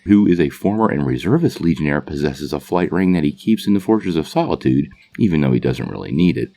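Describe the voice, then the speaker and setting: A man with a low, gravelly voice delivers a scripted explainer as a channel host. His pitch swings widely as he addresses viewers in a casual, enthusiastic fan style. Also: warm tone. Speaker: host of a comic book channel.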